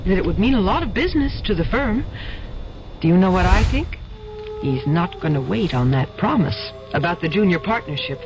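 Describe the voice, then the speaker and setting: Coaxing fiancée, animated delivery. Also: female voice, fairly low pitch, moderate pace, over music, rough recording. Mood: coaxing, eager. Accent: American. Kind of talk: old-time radio drama acting.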